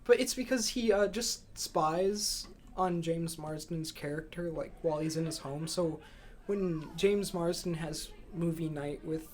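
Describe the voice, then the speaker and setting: A man's voice: fairly high pitch, a little slow, a little mellow. A man talking informally about movies as a podcast co-host. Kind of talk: casual movie chat. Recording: noisy amateur room recording.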